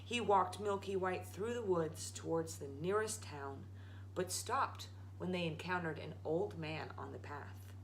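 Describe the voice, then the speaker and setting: A woman's voice: lowish voice, a little slow, vocal fry, slight background noise, low-quality recording. A woman reading a children's story aloud as a storytime host. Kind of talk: animated children's read-aloud.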